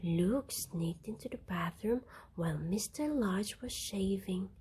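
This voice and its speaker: A woman reading a children's bedtime story aloud in an expressive narrating style. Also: mid-range voice, takes it slowly, slight background noise. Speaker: storybook reader.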